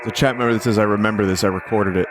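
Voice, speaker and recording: A low-voiced man talks casually to his stream viewers as he listens to recordings. His delivery is wry and joking, and music plays clearly behind his voice. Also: steady tone, very fast. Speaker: streamer hosting a listening session. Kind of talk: casual live commentary, chatting with viewers. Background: radio recordings and music.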